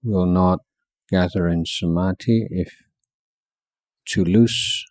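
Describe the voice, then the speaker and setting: A man guiding a meditation as a teacher, speaking in short measured phrases with long pauses between them. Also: low voice, fairly even intonation, a little mellow, no room sound. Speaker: Buddhist monk teaching meditation. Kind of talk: guided meditation instruction.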